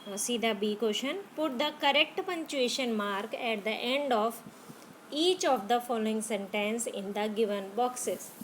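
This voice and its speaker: A woman teacher with an Indian English accent, slowly spelling out grammar words letter by letter for young pupils. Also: fairly high pitch, slight background noise, rough recording. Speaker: school teacher.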